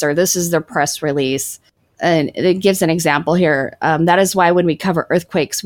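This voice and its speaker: A woman with a lowish voice co-hosts a casual podcast chat, reading passages from articles aloud. She jokes wryly about stumbling over names. The sound is dry and close, with no room echo. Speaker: podcast co-host.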